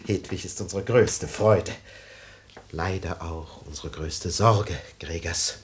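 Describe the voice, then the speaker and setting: A man's voice: sits low, slow pace. An actor playing a vain, self-pitying middle-aged family man in a radio play, swinging between grumbling and pathos. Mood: mournful.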